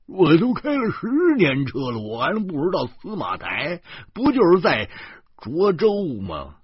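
Man acting a blustering fat driver, wildly animated. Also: a little high, unhurried, breathy edge, dry recording, dull audio, pitched above the performer's own voice, full character voice. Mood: boastful. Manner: stammering, argumentative bluster. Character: fat blustering male driver, boastful and stubborn. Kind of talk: acted audiobook dialogue.

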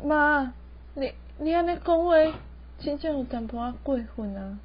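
A spoiled but meek young Taiwanese daughter-in-law from the city , played by a young woman, whining and pleading. Her voice is high. The amateur radio play has dull, lo-fi sound. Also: lively intonation, moderate pace. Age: young adult. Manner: whiny, sprinkles English words into speech. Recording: dull, lo-fi recording.

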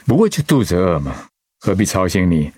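A man acting an easygoing, caring middle-aged husband, a chaplain, in an expressive radio drama style. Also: low voice, moderate pace, no echo, studio quality. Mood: placating. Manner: expressive, conversational.

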